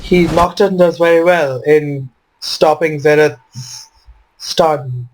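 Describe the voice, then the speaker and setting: A man's voice: on the high side, fairly even intonation, slow, slight background noise, low-quality recording. A man giving informal, wry commentary on his own video game match, voicing his reactions as he goes.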